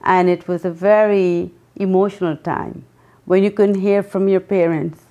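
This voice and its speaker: A woman in her sixties from Guyana, answering interview questions earnestly, pausing often with ums. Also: on the low side, fairly even intonation, dark tone, highs cut off. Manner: earnest, with many pauses and ums. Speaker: guest, memoir author.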